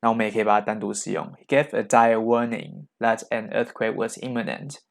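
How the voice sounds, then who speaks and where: Gravelly low-voiced male language teacher. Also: steady tone, a little fast. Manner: explains in Chinese and reads English examples aloud. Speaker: English teacher. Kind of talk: vocabulary lesson explaining English words.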